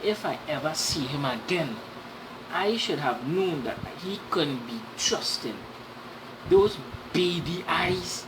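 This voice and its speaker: A woman's voice: deep voice, expressive, takes it slowly, background noise. A woman voicing a proud, indignant male folktale trickster who blusters and scolds in a children's storybook. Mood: furious. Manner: snorting, sputtering, scolding outbursts.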